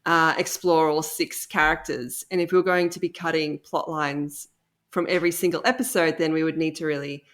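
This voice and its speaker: A woman's voice: deep voice, fairly even intonation, moderate pace, fairly bright tone. A woman explaining creative choices casually as an interview guest.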